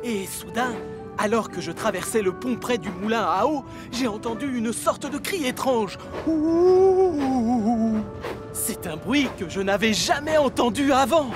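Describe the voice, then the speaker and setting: A man's voice: very high-pitched, lively intonation, measured pace. A man playing a timid, imaginative young train engine, earnest and wide-eyed, in a children's cartoon dub. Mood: nervous. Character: timid, imaginative, easily frightened young male talking engine. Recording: music behind the voice.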